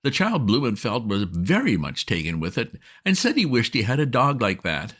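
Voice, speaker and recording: A man's voice: mid-range voice, wildly swooping pitch, normal speed, fairly bright tone, voice pushed up. A man voicing a breezy young woman who gushes and coaxes in an airy way in a storybook reading. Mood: wheedling. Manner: gushing, coaxing, airy.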